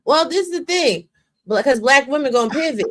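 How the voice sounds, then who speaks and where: Emphatic woman lecturing in drawn-out phrases. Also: high-pitched, expressive, crowd noise. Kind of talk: casual discussion.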